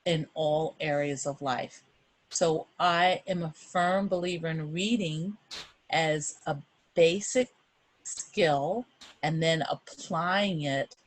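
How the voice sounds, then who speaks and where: Middle-aged woman, unhurried pace, close dry sound, emphatic, encouraging explanations for kids. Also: low voice, no echo, poor audio. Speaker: guest reader. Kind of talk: explanatory chat addressed to children and families.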